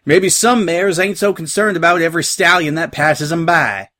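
A man voicing a prickly, rough-and-tumble female pony pirate with a rural American twang, brash and blunt, in an audio story. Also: a little high, normal speed, bright, forceful tone, dry recording, clean studio sound, pitched above the performer's own voice. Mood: indignant.

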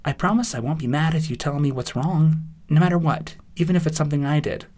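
Man putting on a gentle high-pitched female pony. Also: fast talker, close to an everyday voice. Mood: apologetic, gentle. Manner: soft, soothing, motherly concern.

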